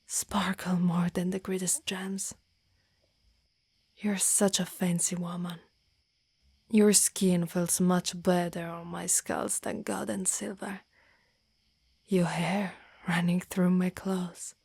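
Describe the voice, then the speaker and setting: A woman voicing a devoted, lovestruck female dragon knight in human form, in an intimate, half-whispered ASMR roleplay with formal, halting phrasing. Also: on the low side, darkish tone, breathy edge, dry recording, exaggerated voice. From Italy. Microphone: close microphone.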